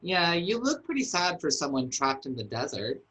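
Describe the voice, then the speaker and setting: Low, bright, swooping sarcastic sister acted by a woman. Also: big pitch swings, normal speed, a little hiss or hum, rough recording. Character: tipsy, sarcastic, blunt sister in a biblical comedy. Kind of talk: staged reading of a comic play.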